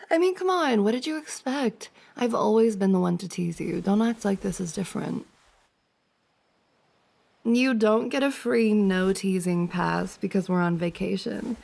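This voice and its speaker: A woman's voice: mid-range voice, creaky. A woman playing a playful, teasing young woman, a best friend's older sister, in a giggly, casual ASMR roleplay. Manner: giggly, teasing banter with frequent soft laughs.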